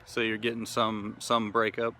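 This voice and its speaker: A man's voice: on the low side, completely flat delivery, normal speed, sharp tone, a little hiss or hum. An American man from the Kansas City area giving a casual, rambling gear review and field test, full of fillers and restarts. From Kansas City area, USA. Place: outdoors in wooded hilly area.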